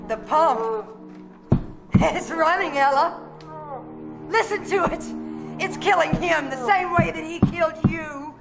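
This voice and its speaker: A woman's voice: very high-pitched, takes it slowly, pauses often, heavily acted. A woman playing a crazed, vengeful young woman in a melodramatic 1930s horror radio play. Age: young adult. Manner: melodramatic, chanting, unhinged. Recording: live radio play under very loud music.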